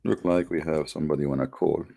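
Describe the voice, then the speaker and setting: A man's voice: very deep voice, steady tone, fast, vocal fry, warm tone, dry recording, clean studio sound. A man with a non-native English accent hosting an online religious call-in show, speaking informally in a challenging, confrontational manner. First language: not English. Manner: challenging, confrontational, with rhetorical questions. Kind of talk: informal polemical monologue to live online audience.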